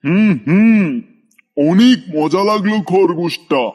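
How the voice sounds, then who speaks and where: A cruel, greedy tiger from a moral cartoon, acted by a man, pitched a little high. He is domineering and threatening, gloating over his strength and ordering weaker creatures about. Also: slow, a little mellow. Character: strong, cruel, bossy, greedy male tiger. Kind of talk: scripted cartoon dialogue.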